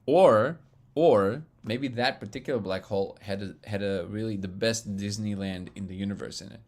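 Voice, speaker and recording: A man with a low, booming voice chats loosely on a live stream, answering viewers' questions one after another. His tone has a gravelly rasp, and he laughs easily between thoughts. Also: moderate pace. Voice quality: loud booming voice. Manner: excitable, laughs often, reads chat aloud. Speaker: livestream host answering chat. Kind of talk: casual live-stream chat.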